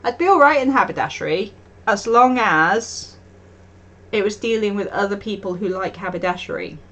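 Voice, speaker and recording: A woman's voice: medium pitch, animated, normal speed, a bit bright, muffled recording. A woman streaming live, chatting casually and telling stories with frequent laughs and acted-out quotes. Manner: chatty storytelling, often laughing, acting out quotes. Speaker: art streamer.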